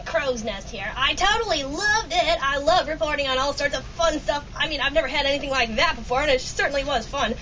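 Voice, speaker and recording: A woman's voice: high voice, fairly quick, hardly any pauses, sharp tone. A woman playing a perky, keen airship reporter in a comic radio drama, chatty and chirpy. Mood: enthusiastic. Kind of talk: comic old-time radio acting. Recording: muffled, distant recording with hiss.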